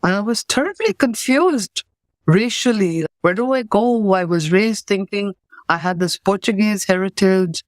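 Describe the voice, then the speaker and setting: A woman's voice: mid-range voice, expressive, measured pace, no echo. A woman from Pakistan whose first language is English, a certified coach telling her story earnestly in an interview over a video call. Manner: earnest, reflective.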